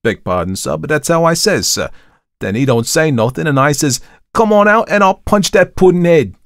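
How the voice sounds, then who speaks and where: A man's voice: medium pitch, lively intonation, moderate pace, gravelly, a bit bright, no echo, clean studio sound, pitched above the performer's own voice. Man playing an indignant Cockney manservant. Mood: worked-up, contemptuous. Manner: colloquial, deferential, calls master sir. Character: Cockney young manservant, ex-soldier, indignant and deferential.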